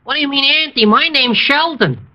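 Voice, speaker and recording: An actor playing a brisk, businesslike delivery messenger in an old radio drama. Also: male voice, very high-pitched, pushed and bright, exaggerated voice. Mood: brisk, abrupt. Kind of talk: 1950s radio drama acting. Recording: dull old radio recording.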